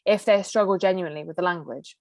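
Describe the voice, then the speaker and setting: A woman's voice: on the low side, fast talker, darkish tone, no echo, studio quality. A woman co-hosting a podcast, half Greek and half English with Greek as her first language, bluntly reading out messages, laughing often and swearing. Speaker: podcast co-host. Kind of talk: casual chat reading out messages.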